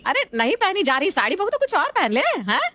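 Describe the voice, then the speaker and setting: A woman's voice: very high voice, wildly swooping pitch, fairly quick, bright, forceful tone, full character voice. A woman playing a fussy, doting middle-aged Indian mother in a family story, motherly and given to exclaiming. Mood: concerned. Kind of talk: scripted family story acting.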